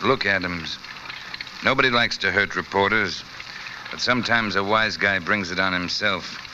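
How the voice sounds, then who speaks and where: An actor playing a cool, menacing American gangster in a 1940s radio drama. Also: male voice, low voice, normal speed, highs cut off, natural voice. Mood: cool, threatening. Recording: noisy old radio recording.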